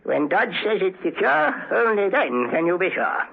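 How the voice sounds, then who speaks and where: A man's voice: sits high, big pitch swings, moderate pace, creaky, husky, exaggerated voice. A man acting an eccentric, boastful professor in a 1970s radio drama, with a pompous, fussy, theatrical manner. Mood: smug, brisk. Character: eccentric, boastful male professor, government escapologist and security tester. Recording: dull old radio drama recording.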